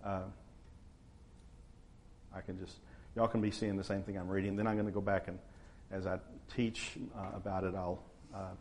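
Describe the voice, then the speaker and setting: A man, preaching a sermon to his church in a relaxed, conversational way. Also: deep voice, a little hiss or hum. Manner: conversational, unhurried, frequent uh fillers. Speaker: pastor.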